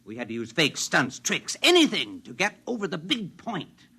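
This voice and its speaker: A self-important idealist makes his case in 1950s radio drama style. His voice is high and very forceful, swinging widely in pitch as he declaims with zeal and insistence. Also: wildly swooping pitch, moderate pace, breathy edge, full character voice. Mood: zealous, grandiose. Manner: fervent, declamatory speechmaking.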